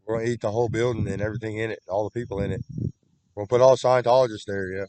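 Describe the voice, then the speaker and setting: A man's voice: on the low side, steady tone, racing, a little mellow, no echo, poor audio. A man talking in a rambling, repetitive solo monologue, with frequent restarts, recorded on a computer webcam.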